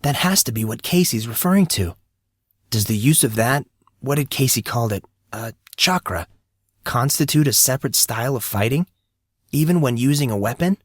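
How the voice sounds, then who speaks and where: A man's voice: mid-range voice, expressive, normal speed, no echo, studio quality, close to an everyday voice. A man voicing a thoughtful, puzzled fourteen-year-old boy musing to himself in an audiobook story. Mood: pondering. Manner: questioning, musing.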